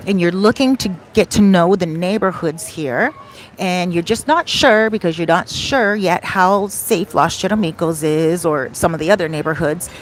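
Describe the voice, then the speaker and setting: Casual chatty woman with a lowish voice. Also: moderate pace, slight background noise. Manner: conversational, rambling, with asides. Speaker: livestream host answering viewer questions.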